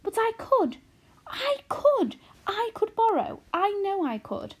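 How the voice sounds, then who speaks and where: A woman's voice: on the high side, very animated, moderate pace, darkish tone, husky, heavily acted. A woman reading aloud and voicing an eager, headstrong young girl who is bold and pleading, in a storybook reading. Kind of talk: audiobook character reading.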